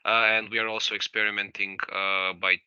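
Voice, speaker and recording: Croatian man, panel participant; measured and explanatory, crisp voice, lo-fi sound. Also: fairly low pitch, flat delivery, sharp tone, no echo. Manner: measured, explanatory, with frequent fillers. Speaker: regional energy agency participant. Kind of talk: panel discussion, asking and commenting.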